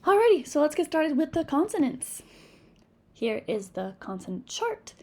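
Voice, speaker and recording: A woman's voice: high voice, sing-song, a little mellow. New England woman teaching phonics. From Massachusetts. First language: Spanish. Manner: patient, encouraging, models sounds slowly. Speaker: reading teacher. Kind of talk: instructional video lesson.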